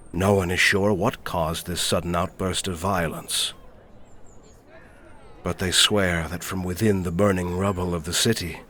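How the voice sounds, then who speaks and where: Druid master played by a man: deep, unhurried, fatherly mentor with measured, solemn delivery for fantasy audio roleplay. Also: a bit bright.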